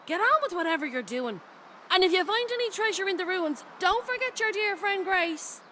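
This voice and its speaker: A woman's voice: extremely high pitch, expressive, moderate pace, noisy background. An actress playing a brash, roguish pirate woman in a video game, with a wry, informal, teasing manner. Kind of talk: scripted video game character dialogue.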